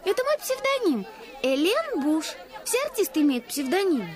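A proud, friendly girl acrobat in a radio play. Her voice is very high and lively, with a faint crowd and music behind. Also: moderate pace. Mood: playful, proud. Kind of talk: Russian radio play acting.

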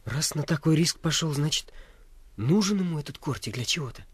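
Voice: mid-range voice, lively intonation, a little fast, breathy edge. A performer in a Russian radio play voicing a curious, respectful young boy with a wondering, naive manner. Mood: curious, intrigued. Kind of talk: Russian radio drama acting.

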